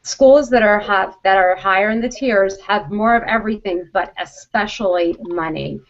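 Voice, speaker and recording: A woman's voice: mid-range voice, normal speed. Woman presenting, slightly muffled call audio. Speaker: college program vice president, presenter. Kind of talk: instructional presentation to students. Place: conference call. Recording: dull conference call audio.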